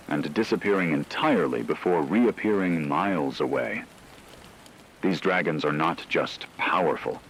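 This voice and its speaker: A man playing a grave radio news anchor, delivering a scripted catastrophe bulletin in a solemn, measured, dramatic way over steady hiss. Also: low-pitched, gravelly, heavily acted, rough recording.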